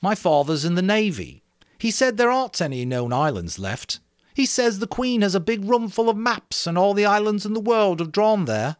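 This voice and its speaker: Man acting a young schoolboy leader, earnest, emphatic, higher lively pitch, dramatic audiobook character, dry close sound. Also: normal speed, no echo. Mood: hopeful, rallying. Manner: emphatic, speech-making to a group.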